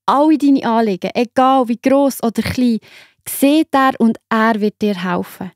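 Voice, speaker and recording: A woman's voice: on the high side, measured pace, dry recording, clean studio sound. A woman with a Swiss German accent narrating a scripted children's Bible story, reassuring and speaking straight to the young listener.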